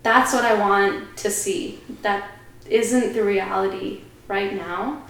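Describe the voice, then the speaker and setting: A woman's voice: medium pitch, steady tone, slow pace, a bit bright. Earnest woman in a very echoey room. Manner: earnest, reflective opinions. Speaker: podcast guest. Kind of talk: podcast conversation.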